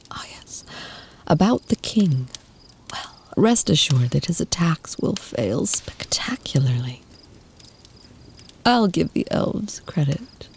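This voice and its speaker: A woman playing an ancient, tender, protective vampire lover in an ASMR roleplay, speaking in a soft, breathy, soothing whisper close to the mic. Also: low voice, a little hiss or hum, heavily acted. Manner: slow, soothing, intimate murmur with lingering pauses.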